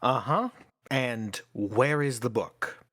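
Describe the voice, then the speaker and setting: An actor playing an impatient, skeptical theater company manager in a radio stage play, with a brusque, exasperated delivery. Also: male voice, medium pitch, slow, a bit bright, no echo, clean studio sound.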